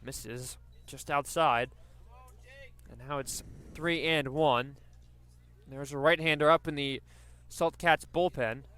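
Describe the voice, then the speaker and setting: A man's voice: on the high side, steady tone, slow pace, pushed and bright, clean tone. A man doing live radio play-by-play commentary of a baseball game.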